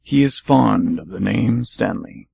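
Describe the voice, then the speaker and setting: A man co-hosting an amateur radio theatre show, reading mock-epic narration in a solemn, deliberate way. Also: fairly low pitch, animated, gravelly, a little mellow, breathy edge. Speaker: radio theatre co-host and performer.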